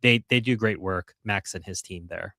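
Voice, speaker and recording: A man's voice: low voice, normal speed, creaky, pushed and bright, no echo, clean studio sound. A man hosting a casual livestream, reading out chat comments, earnest with restarts and wry asides. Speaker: host of a livestream.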